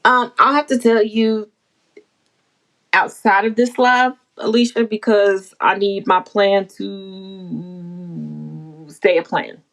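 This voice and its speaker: A woman's voice: mid-range voice, fairly even intonation, takes it slowly, gravelly, pauses often, a bit bright. A brash, playful woman from the Bahamas chatting casually and swearing freely on a livestream. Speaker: livestream host.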